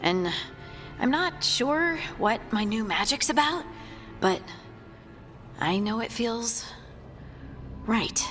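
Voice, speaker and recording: A woman's voice: fairly high pitch, lively intonation, slow pace, long pauses, a bit bright, faint music behind. A woman playing an earnest young dwarf scout in a fantasy video game, sincere and a little hesitant. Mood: tender, hopeful. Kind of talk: scripted video game dialogue.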